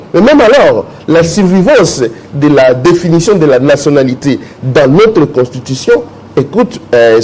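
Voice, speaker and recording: Mec juriste qui explique la loi, voix vive qui monte et descend, lit les articles au host. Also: male voice, mid-range voice, a little mellow, breathy edge, rough recording. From Democratic Republic of the Congo. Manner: explanatory, reads legal articles aloud, addresses host directly. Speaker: jurist guest. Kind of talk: morning radio interview.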